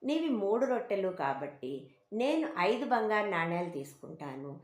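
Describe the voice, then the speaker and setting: A woman's voice: medium pitch, very animated, moderate pace, close to an everyday voice. A woman voicing an argumentative male traveller insisting on his fair share in a children's moral story. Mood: insistent. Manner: argumentative, firm. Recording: roomy, echoing home recording.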